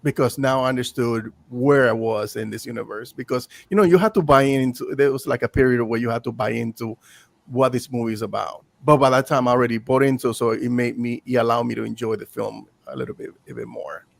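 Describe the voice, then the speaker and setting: Gravelly man in his fifties, rambling. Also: medium pitch, steady tone, fairly quick, dry recording. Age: 50s. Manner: rambling, self-correcting. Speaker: guest reviewer. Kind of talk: casual chat.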